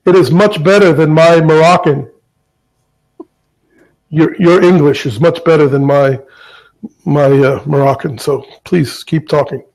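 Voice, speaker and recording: A man's voice: a little high, unhurried, pauses often, darkish tone, rough recording. Wry man in a casual video chat.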